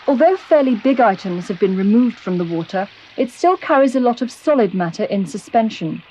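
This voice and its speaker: A British woman reading scripted narration for an educational film, explaining in a clear, measured way. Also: on the high side, lively intonation, warm tone, slight background noise, poor audio. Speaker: documentary narrator. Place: close, dry voice-over recording.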